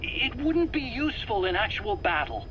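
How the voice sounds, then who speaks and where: A man's voice: high voice, big pitch swings, moderate pace. A man playing a defensive, rambling weapons scientist under interrogation in a video game, hesitant and prone to stammering as he explains. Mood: baffled, agitated. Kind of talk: dramatic video game voice acting. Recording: faint background noise on cassette recording.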